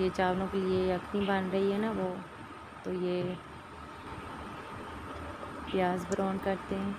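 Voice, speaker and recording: A woman walks through a home cooking recipe step by step, often checking with a quick "theek hai". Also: mid-range voice. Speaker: cooking channel host. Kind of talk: casual cooking demonstration. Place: home kitchen, over a stove. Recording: loud kitchen noise behind, sizzling frying.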